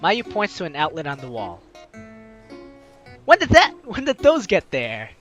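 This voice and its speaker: A man's voice: a little high, wildly swooping pitch, unhurried, fairly bright tone, soft background music. A man hosting a let's play, reading the story aloud in a playful, dramatic way and adding his own commentary.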